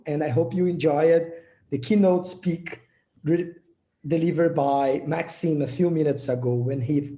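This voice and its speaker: A man's voice: fairly high pitch, mellow. Brazilian guy giving an earnest talk, some restarts, echoey room. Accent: Brazilian Portuguese. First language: Portuguese. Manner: earnest, with small slips and restarts. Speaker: project leader presenting a session. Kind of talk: prepared presentation speech.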